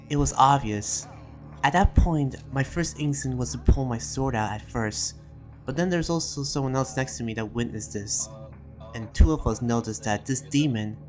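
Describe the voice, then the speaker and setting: A man voicing an earnest demon slayer who reads out his research journal in a reflective, halting way, as a dramatic roleplay monologue. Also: medium pitch, natural voice. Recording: music behind the voice.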